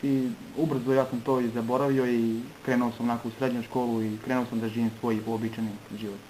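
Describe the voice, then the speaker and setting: A man from Serbia giving an earnest personal testimony in short phrases, pausing between them for an interpreter. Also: mid-range voice, flat delivery, a little mellow, a little hiss or hum, poor audio.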